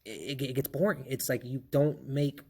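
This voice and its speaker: A man's voice: medium pitch, steady tone, normal speed, mellow, slightly rough. A man in his thirties hosting a casual podcast, easygoing and chatty, laughing easily and swearing casually. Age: 30s. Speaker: podcast host.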